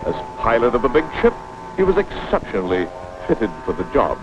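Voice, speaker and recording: An actor playing a pompous mock-heroic narrator of a 1940s cartoon, florid and theatrical. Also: male voice, medium pitch, big pitch swings, a little fast, noisy background, close to an everyday voice. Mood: grandly admiring. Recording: muffled 1940s film soundtrack, music behind.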